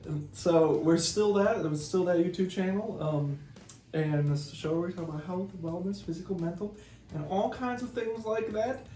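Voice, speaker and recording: A man's voice: sits high, moderate pace, mellow, distant mic. A young man in his twenties co-hosting a casual chat, upbeat with playful quips. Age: 20s. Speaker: co-host, son. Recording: echoey room off-mic, faint music.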